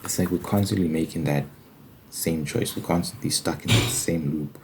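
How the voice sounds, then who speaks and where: A man speaking in a casual, halting ASMR style, explaining religious ideas informally and posing questions as he goes. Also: rumbling low. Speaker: ASMR video creator. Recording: faint background noise.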